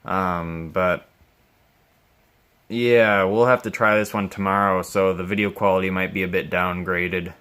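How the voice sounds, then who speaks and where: Male hobby repairer, lowish pitch, very unhurried pace, casual off-the-cuff narration, halting and musing. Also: steady tone, a bit bright, clean tone. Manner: thinking aloud, musing and puzzled, halting. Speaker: hobbyist repairing a vintage radio on video. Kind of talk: casual unscripted workbench commentary.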